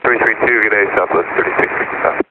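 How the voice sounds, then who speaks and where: A man transmits as a pilot over a thin, tinny aircraft radio. Clear static and engine noise sit around his voice, and his phrasing is brief and clipped. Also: on the low side, steady tone, fast. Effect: aviation radio. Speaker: airline pilot. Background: radio static, vehicle noise.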